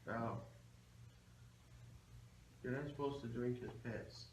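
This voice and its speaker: A man's voice: medium pitch, slow pace. Joking man in an echoey, noisy room. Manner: crude, joking. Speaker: co-host of a weekly geek show. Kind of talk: casual off-the-cuff banter. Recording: echoey room with noticeable background noise.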